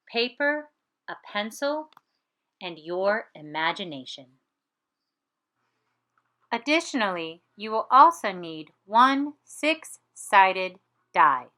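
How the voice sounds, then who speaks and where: A woman teaching a lesson in a deliberate, step-by-step manner. Her pace is slow and careful, and her medium-pitched voice moves up and down in a lively way. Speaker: teacher presenting a writing activity. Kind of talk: instructional lesson.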